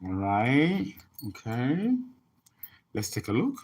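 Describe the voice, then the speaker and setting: A man's voice: medium pitch, huge pitch swings, slow, a little mellow, slightly rough. A man, an astrologer and tarot reader, chatting casually on a livestream and rambling as he reads viewer comments aloud.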